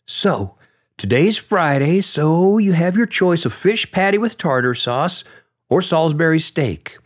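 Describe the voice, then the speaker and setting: A gentle middle-aged care-home aide, voiced by a male narrator, coaxing and soothing in a folksy way. The pitch is lifted and swings freely in this audiobook dialogue, on a slightly dull recording. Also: lively intonation, measured pace. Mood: cheerful, coaxing.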